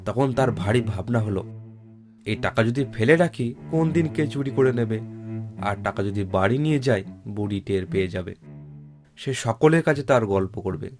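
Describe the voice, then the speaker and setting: A man's voice: fairly low pitch, animated, normal speed, a little mellow. A man reading a scripted folk tale as narrator. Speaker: storyteller, narrator. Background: plucked guitar-like music.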